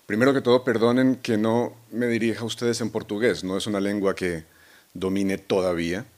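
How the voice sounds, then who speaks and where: A man with a Colombian accent, a novelist accepting a prize, speaking in a measured, reflective way with soft hesitations. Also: lowish voice, little pitch movement. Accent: Colombian Spanish. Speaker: award-winning novelist.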